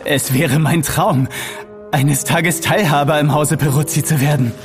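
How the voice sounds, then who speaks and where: An actor playing an ambitious, deferential young apprentice bookkeeper in an audio drama, earnest and polite. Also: male voice, on the high side, steady tone, measured pace, music in the background, natural voice. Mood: eager, grateful. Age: young adult. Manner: earnest, polite, formal diction. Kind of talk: German audio drama acting.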